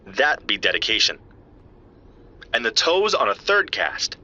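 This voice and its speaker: A man's voice: mid-range voice, big pitch swings, unhurried, pushed and bright, muffled recording, heavily acted. A man playing an earnest, geeky scientist in an audio drama, explaining evidence to a friend in precise technical detail. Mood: assured, wry. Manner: precise, technical, lecturing yet familiar. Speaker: scientist analysing footprint casts.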